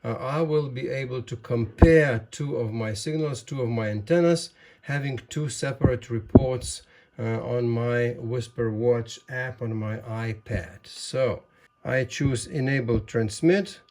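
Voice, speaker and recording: A man presenting a ham radio hobby demonstration, explaining things in a deliberate, methodical way. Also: mid-range voice, a little mellow.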